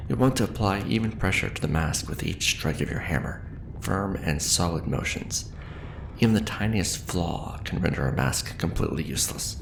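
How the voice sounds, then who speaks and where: Very low male voice, soft ASMR delivery, patient veteran craftsman instructing, measured, roomy echo, noisy background. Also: expressive, vocal fry. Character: veteran mask maker patiently training a new apprentice. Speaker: ASMR roleplayer playing an instructor.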